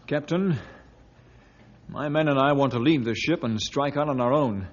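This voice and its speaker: An actor playing a proud, impatient doctor and explorer in a 1950s radio drama, earnest and formal in manner. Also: male voice, medium pitch, normal speed, lightly acted. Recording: noisy, dull old radio recording.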